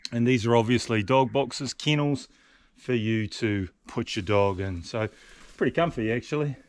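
A man's voice: mid-range voice, moderate pace, no room sound. A man narrating a casual camping and hiking vlog to camera in a chatty, understated way, often adding little tag questions. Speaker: solo hiking vlogger.

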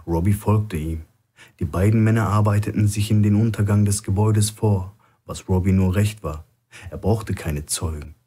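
Low, husky male thriller narrator. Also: dark tone. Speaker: audiobook narrator.

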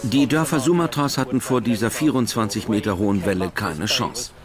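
A man's voice: mid-range voice, brisk, over music. A man giving explanatory expert commentary as a documentary voice-over.

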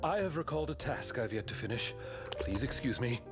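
A man playing a reserved, courteous gentleman servant in a video game, speaking in a formal, measured way. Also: mid-range voice, animated, natural voice. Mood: polite, reserved. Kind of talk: scripted video game character acting. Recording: played game trailer with music.

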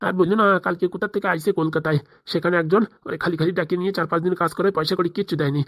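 Woman as a chatty, quick old village uncle. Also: on the low side, steady tone, no echo, studio quality, lightly acted. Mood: friendly, curious. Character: friendly, inquisitive older village uncle. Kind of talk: comic cartoon dialogue.

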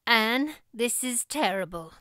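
A woman's voice: fairly high pitch, lively intonation, slow, sharp tone, no echo, clean studio sound, full character voice. A woman voicing a strict, exasperated female guardian in a storybook, curt, firm and indignant. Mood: grim.

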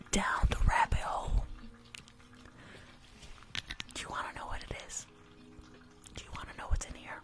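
A woman in her twenties doing ASMR roleplay close to the microphone, blunt and teasing, often repeating her phrases. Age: 20s. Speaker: ASMR performer. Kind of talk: whispered ASMR roleplay as a rude spa worker. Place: small room indoors at home. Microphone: close desktop condenser microphone.

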